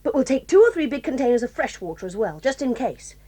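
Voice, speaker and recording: A woman's voice: sits high, big pitch swings, fast talker, warm tone, slightly rough, exaggerated voice. An actress playing a bold, stubborn tomboy girl who speaks confidently and decisively.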